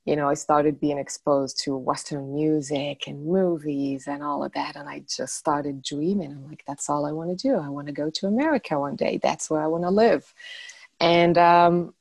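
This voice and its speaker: Low-voiced woman from Morocco, dry sound. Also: moderate pace, creaky, no room sound. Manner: relaxed, thoughtful, laughs lightly. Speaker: guest, life coach. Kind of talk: casual interview chat.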